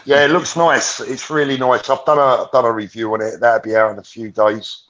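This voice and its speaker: A man's voice: medium pitch, fairly even intonation, a little fast, a bit bright. Easygoing man on dull lo-fi audio. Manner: friendly, easygoing. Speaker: guest. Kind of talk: casual chat.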